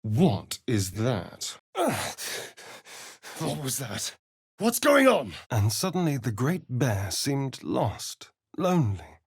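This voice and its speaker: A sly vampire rogue for a fantasy video game. His delivery is flamboyant and unhurried, with wide, lively swings of pitch. He enunciates precisely, with an arch, teasing edge and a slightly husky voice in a very dry recording. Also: no echo, exaggerated voice. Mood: alarmed, disgusted. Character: sly, theatrical male vampire spawn rogue, centuries old. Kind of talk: video game character voice lines and narration.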